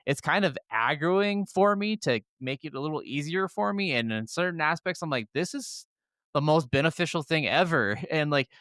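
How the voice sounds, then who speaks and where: A man's voice: on the high side, lively intonation, moderate pace, a bit bright, no room sound, clean studio sound. A man co-hosting a gaming podcast in casual, opinionated chat, rambling enthusiastically through explanations and swearing freely.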